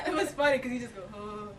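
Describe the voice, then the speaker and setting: A woman with a very high voice talks casually as a co-host in a relaxed review chat. She is recorded a bit away from the microphone, with faint noise and rumble underneath. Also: recorded from a distance.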